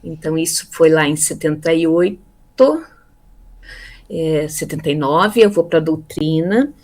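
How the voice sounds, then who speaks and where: A woman in her fifties from Brazil, telling her life story in a relaxed interview, pausing often with fillers and repeated words. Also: low voice. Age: 50s. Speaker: interviewee, theology graduate. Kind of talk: casual conversational interview.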